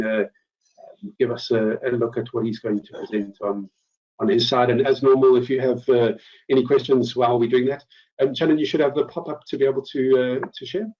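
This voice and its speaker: Male webinar host, halting and repetitive delivery, dull lo-fi sound, off-mic. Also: mid-range voice, fairly even intonation, a little mellow. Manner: hesitant, repeats words and rephrases often. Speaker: host presenter. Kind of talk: informal product update webinar. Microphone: online meeting call. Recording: muffled lo-fi online call, distant mic.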